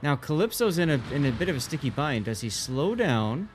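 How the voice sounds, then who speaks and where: A man's voice: fairly high pitch, sing-song, fairly quick, soft background music. A man giving live play-by-play on an esports match in a casual, colloquial way. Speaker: esports co-commentator. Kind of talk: live play-by-play game commentary.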